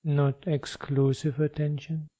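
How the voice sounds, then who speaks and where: A man leading a guided meditation as a teacher, speaking in a measured, instructional way with long pauses. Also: fairly high pitch, flat delivery, dark tone.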